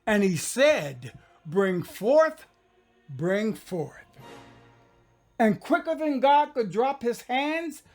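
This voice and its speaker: Man reciting poetry with sweeping theatrical range. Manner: solemn, reverent, swelling from hushed whispers to booming declamation. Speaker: poet performing a dramatized poem. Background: music.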